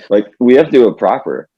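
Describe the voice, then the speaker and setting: A man's voice: mid-range voice, mellow, husky, no room sound, muffled recording. A man in his thirties, a podcast guest chatting casually, rambling with many restarts and laughing often. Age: 30s. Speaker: podcast guest, band member. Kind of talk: casual chat.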